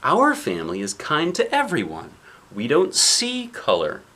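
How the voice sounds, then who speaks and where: A man voicing a protective mother who briskly reassures and brushes things off, in a picture book read aloud. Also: a little high, huge pitch swings, pushed and bright, a little hiss or hum, voice pushed up, exaggerated voice. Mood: reassuring. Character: protective mother, reassuring, dismissive of hard truths.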